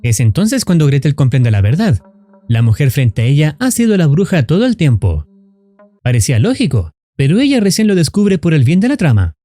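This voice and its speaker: A man narrates a scripted horror story recap. His pitch moves up and down in a lively way, and his tone is bright but controlled. Faint music plays underneath. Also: a little fast, no room sound.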